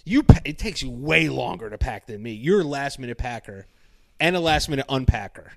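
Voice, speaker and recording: A man's voice: mid-range voice, lively intonation, brisk, creaky, fairly bright tone, dry recording, studio quality. A young man co-hosting a podcast, telling stories in a rambling, casual way, swearing freely and acting out other people's lines. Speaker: podcast co-host. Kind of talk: casual chat.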